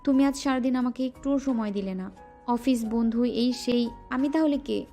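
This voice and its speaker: A woman playing a playful, affectionate young wife in a romantic audio drama, teasing and pouting, with soft music underneath. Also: on the high side, moderate pace, close to an everyday voice.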